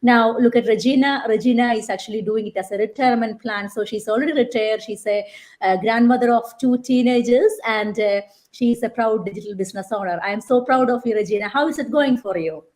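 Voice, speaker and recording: A woman's voice: on the high side, fairly quick, darkish tone. A woman hosting a live online interview, chatty with many fillers and restarts. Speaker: host.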